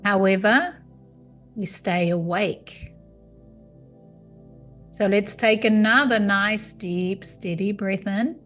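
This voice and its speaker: A woman guides a meditation in a soothing, unhurried manner. Her voice sits at a medium pitch and she gives each instruction calmly. Soft music plays faintly behind her. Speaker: yoga nidra meditation guide.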